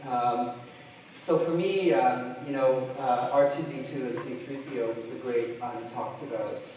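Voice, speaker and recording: A middle-aged man from Trinidad and Tobago giving a conference keynote, halting often with fillers and restarts. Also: medium pitch, a little mellow, a little hiss or hum. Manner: hesitant, many fillers and restarts. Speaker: keynote speaker. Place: large lecture hall. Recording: distant mic in an echoey hall.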